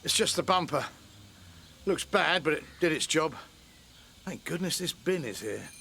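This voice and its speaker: A middle-aged man playing a steady, encouraging pub landlord father in a radio soap, practical and reassuring. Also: a little high, normal speed, creaky, slight background noise, heavily acted. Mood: relieved, practical.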